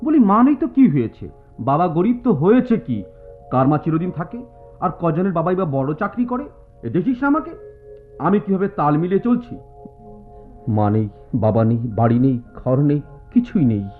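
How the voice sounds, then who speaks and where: An actor playing a brash, teasing young student in a radio drama, bossy and declamatory. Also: male voice, fairly high pitch, sing-song, moderate pace. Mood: chiding. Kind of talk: Bengali radio drama acting. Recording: tinny lo-fi radio play, music behind.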